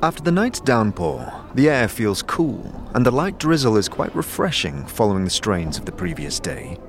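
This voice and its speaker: Low-voiced, animated male fantasy narrator. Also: moderate pace. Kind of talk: dramatic scripted second-person fantasy narration. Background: music.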